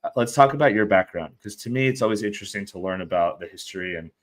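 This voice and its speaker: An enthusiastic male podcast host who rambles and restarts his sentences. He has a very low, deep voice. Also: lively intonation, quick pace. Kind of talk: podcast interview.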